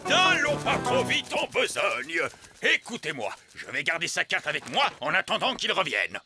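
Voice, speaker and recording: A man's voice: a little high, huge pitch swings, moderate pace, music in the background. A man playing a blustering, boastful and sly old pirate captain in a French cartoon dub, theatrical in delivery. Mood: excited.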